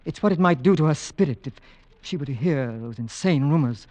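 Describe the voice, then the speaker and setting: An actor playing a devoted, determined husband and hunter, earnest and courtly in theatrical old-time radio horror style. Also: male voice, fairly high pitch, lively intonation, fairly quick, dark tone, natural voice. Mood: earnest, troubled. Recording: dull vintage radio recording.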